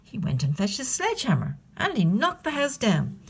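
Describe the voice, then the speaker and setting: Expressive woman storyteller with lively pitch. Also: normal speed, vocal fry, husky. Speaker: librarian storyteller. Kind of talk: expressive children's storybook narration.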